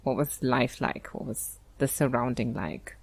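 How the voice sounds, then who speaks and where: Gravelly-voiced enthusiastic woman podcast host. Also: a bass voice, measured pace. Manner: enthusiastic, upbeat. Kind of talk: casual interview chat.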